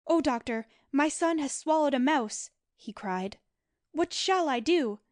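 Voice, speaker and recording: A woman's voice: fairly high pitch, dry recording, clean studio sound, voice pushed up. A woman voicing an eager young girl who loves telling stories. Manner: eager, animated storytelling. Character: earnest girl storyteller, anxious and eager.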